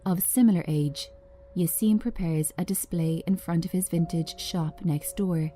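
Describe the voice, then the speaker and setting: A woman giving a scripted narration of screenplay directions in a measured, understated manner. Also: fairly low pitch, fairly even intonation, mellow.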